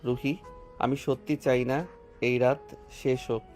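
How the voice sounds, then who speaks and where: A man voicing a devoted, earnest young lover with a tender, even delivery in a romantic audio drama. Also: medium pitch, moderate pace, faint music behind, close to an everyday voice. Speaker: voice actor.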